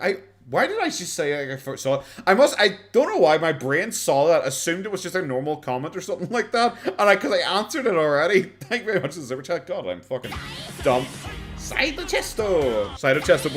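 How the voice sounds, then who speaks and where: Animated Irish man, sarcastic and swearing. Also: fairly high pitch. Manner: sarcastic, swears often, laughs a lot. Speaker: streamer reacting to videos. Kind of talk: casual live-stream reaction chat.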